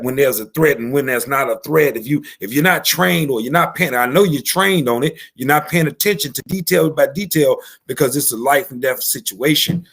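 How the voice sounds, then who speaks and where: A man hosting a casual panel discussion on a video call, talking in halting, rambling runs full of fillers. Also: mid-range voice, fairly bright tone, husky. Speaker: panel host.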